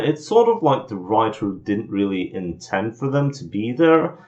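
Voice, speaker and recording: A man with a fairly low voice reviews media in a semi-scripted commentary style. He speaks bluntly, with hesitant filler-laden phrasing as he lays out his opinions. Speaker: video reviewer of anime visual novels.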